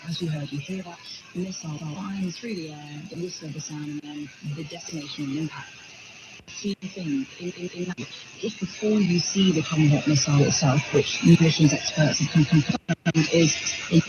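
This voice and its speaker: Man, journalist, explanatory, repetitive phrasing, fairly high pitch, very noisy shortwave radio. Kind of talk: news interview analysis. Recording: dull shortwave radio with loud noise.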